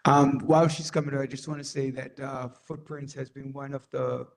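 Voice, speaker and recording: A community board member, a man, offering halting, supportive remarks at a meeting. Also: mid-range voice, level tone, creaky.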